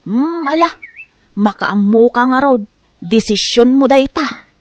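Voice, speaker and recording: A woman's voice: on the high side, animated, slow, darkish tone. A woman playing a stern, disapproving mother of a grown daughter in an audio drama, with an Ilocano accent. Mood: grudging.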